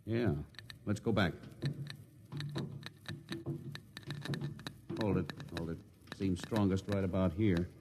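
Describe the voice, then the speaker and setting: Stern commanding spaceship captain, old radio hiss. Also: male voice, low voice, steady tone, moderate pace. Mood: tense, businesslike. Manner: barking orders, curt and authoritative. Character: stern, commanding male spaceship captain interrogating his crew. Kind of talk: 1950s radio drama acting. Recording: noisy 1950s radio recording.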